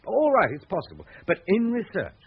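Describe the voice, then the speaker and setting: A man's voice: sits high, wildly swooping pitch, normal speed, slight background noise, heavily acted. A man acting in a radio drama as a suspicious, obsessive husband, a pedantic researcher who questions insistently. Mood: intent, musing. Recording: muffled lo-fi radio drama recording.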